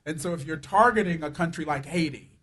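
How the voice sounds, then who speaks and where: A man, a rights advocate, giving a blunt and forceful answer at a press conference. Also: a little high, brisk.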